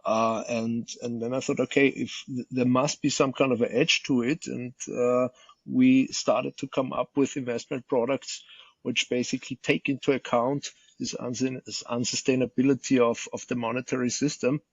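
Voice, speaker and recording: A man with an Austrian accent, a guest being interviewed, speaking haltingly with many repeated words and fillers. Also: medium pitch, little pitch movement, slightly rough, no room sound, dull audio. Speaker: podcast guest, fund manager. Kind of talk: unscripted interview answer.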